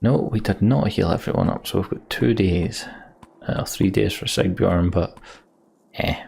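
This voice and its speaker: A man with a low, gravelly voice playing through a story game. He comments casually and narrates as he goes, with faint background noise on the recording. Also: unhurried, a little mellow. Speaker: let's play streamer.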